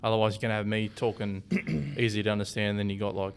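A man hosting a casual local football podcast, relaxed and rambling, often correcting himself mid-sentence. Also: lowish voice, fast, smooth. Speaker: podcast host. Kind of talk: casual sports podcast chat.

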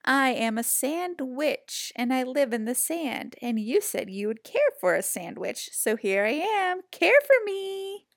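A small, bossy storybook sand creature with a cackling, high voice, as a woman reads her out. She talks slowly and commandingly, recorded close in a dry room. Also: big pitch swings, gravelly, dry recording, heavily acted.

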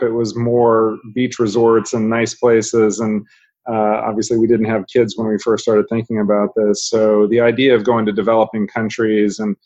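A middle-aged man from Japan, a physician, telling his story in a measured way with many "uh" pauses. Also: fairly low pitch, darkish tone. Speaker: guest, father and physician. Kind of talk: casual interview talk. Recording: slightly dull lo-fi recording.

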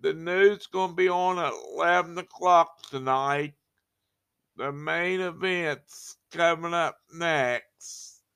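A man introduces the broadcast, speaking very slowly and haltingly. His voice is smooth and clear, a little higher than most. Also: fairly bright tone. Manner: slow, halting, spelling out names. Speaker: show presenter.

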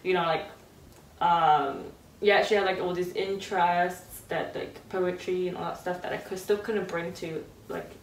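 A woman's voice: lowish voice, fairly even intonation, moderate pace, distant mic, a little hiss or hum. Twenties woman from Iran, casual podcast co-host, rambling and laughing; echoey room, off-mic. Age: 20s. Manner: chatty, laughs often, many fillers. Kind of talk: casual late-night chat.

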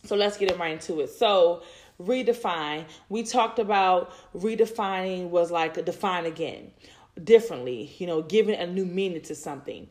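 A woman's voice: fairly low pitch, normal speed, a little mellow. A woman in her thirties talking to listeners about faith and relationships, rambling and repeating herself with many fillers. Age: 30s. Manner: rambling, repeats words, many "you know"s. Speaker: podcast host. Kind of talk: faith-based personal talk.

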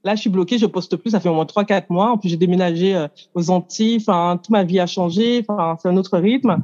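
A woman in her thirties, a coaching client, explaining her situation in a casual, hesitant way with many pauses and restarts. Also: lowish voice, warm tone, highs cut off. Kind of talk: casual chat. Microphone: handheld mic.

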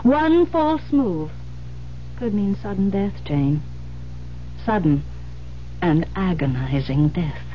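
Through a dull, faintly humming old radio recording comes a firm female investigator. She is persuasive and insistent, with deliberate emphasis and a widely moving mid-range pitch, in classic radio drama style. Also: animated. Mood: ominous warning. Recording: muffled vintage radio recording, humming hiss.

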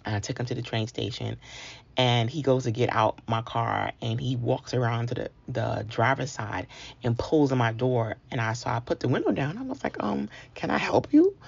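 American lady storyteller, low-fi muffled recording, gravelly voice, chatty and funny, does the dialogue bits. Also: animated, moderate pace, darkish tone. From New York City, United States. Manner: comic, acts out dialogue with exclamations. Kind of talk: personal storytelling, animated and conversational. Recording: dull lo-fi sound.

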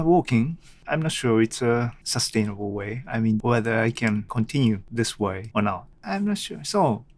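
A Japanese man with a Japanese accent hosting his own solo podcast, thinking aloud unscripted with many pauses and fillers, recorded at home on a desk microphone. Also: mid-range voice, creaky, dry recording. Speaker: podcast host. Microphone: desk microphone at a computer.

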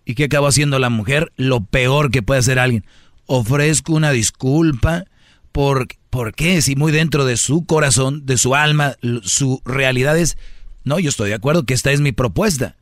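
A man's voice: mid-range voice, moderate pace, no room sound, clean studio sound. A man with a Mexican accent hosting a call-in radio talk show in a blunt, challenging, conversational way, full of rhetorical questions. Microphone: close studio microphone.

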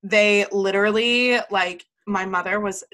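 A young woman from Massachusetts with a slight local accent, chatting casually as a podcast co-host in a bubbly, animated way. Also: medium pitch. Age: young adult. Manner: bubbly, animated storytelling, quick reactions. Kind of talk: casual chat.

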